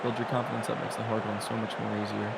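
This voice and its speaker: A man doing casual, analytical color commentary on a simulated soccer match, who gets excitable at big moments. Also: lowish voice, quick pace, gravelly, very noisy. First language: English.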